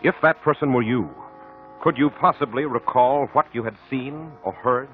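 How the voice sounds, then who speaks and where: A man's voice: mid-range voice, normal speed, faint music behind. A man announcing and narrating for 1950s radio in a formal, dramatic, scripted style with emphatic phrasing. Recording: thin lo-fi 1950 radio broadcast.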